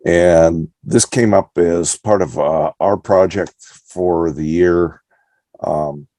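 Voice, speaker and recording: An older man giving a lodge presentation over a video call, pausing now and then to sort out his screen. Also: sits low, warm tone, dry recording. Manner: halting, pauses while sorting out his screen. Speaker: guest speaker. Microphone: computer mic on a video call.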